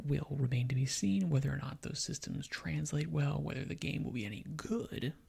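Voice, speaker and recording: Husky, crackly man speaking ASMR-soft. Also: mid-range voice. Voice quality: breathy, husky half-whisper. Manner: rambling, opinionated, conversational. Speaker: gaming video host. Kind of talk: ASMR, soft-spoken gaming commentary.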